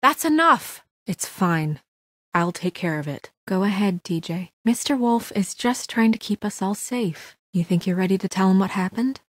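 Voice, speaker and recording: Actress as a young female fairy-tale official for a narrative game: measured, caring yet firm, naturalistic delivery, medium pitch, close dry sound. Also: lively intonation, no room sound, lightly acted. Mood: urgent, firm, then calm, reassuring. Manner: earnest, measured, diplomatic. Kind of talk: naturalistic video game voice acting.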